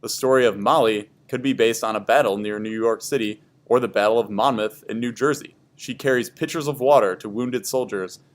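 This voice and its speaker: A man's voice: mid-range voice, brisk, few pauses. A man reading scripted educational history narration aloud for a class.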